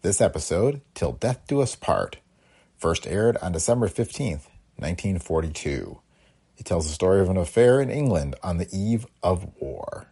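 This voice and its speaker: Gravelly low-voiced man hosting a podcast. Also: big pitch swings, moderate pace, warm tone. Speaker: podcast host. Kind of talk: scripted narration.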